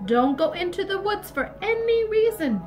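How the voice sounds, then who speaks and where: A woman's voice: extremely high pitch, big pitch swings, moderate pace, soft background music, voice pushed up. A woman voicing a caring but firm mother laying down rules in a storybook tale. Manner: firm, instructive.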